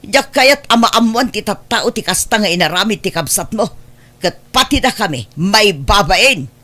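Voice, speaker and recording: A man's voice: high voice, animated, moderate pace, bright, forceful tone. A man acting a proud, unforgiving aunt in an Ilocano radio drama, scolding harshly and indignantly. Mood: scornful scolding.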